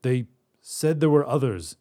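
A man's voice: expressive, a little slow, warm tone, close to an everyday voice. A man doing a weary pleading god. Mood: pressing. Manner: pleading, booming at first. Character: tall pale ancient male god, desperate and weary.

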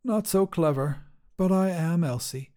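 A man voicing a weary, kindly old village wise woman in a narrated story, speaking plainly and gently. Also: fairly high pitch, a little slow, pitched above the performer's own voice, lightly acted. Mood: gentle, weary.

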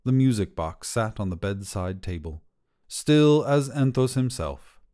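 Male story narrator, soft dark timbre, scripted fiction, measured storytelling. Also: on the low side, lively intonation.

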